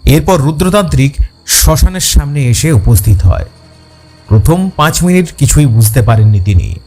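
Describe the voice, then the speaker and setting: A man's voice: a little high, lively intonation, normal speed, darkish tone, breathy edge, faint music behind. A man reading scripted ghost story narration as a storyteller.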